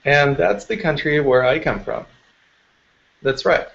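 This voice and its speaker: A man from eastern Ukraine addressing a meditation circle in a calm, measured way, pausing often with small fillers. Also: a little high, vocal fry, muffled recording. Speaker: meditation teacher. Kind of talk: slow spoken address to a meditation group.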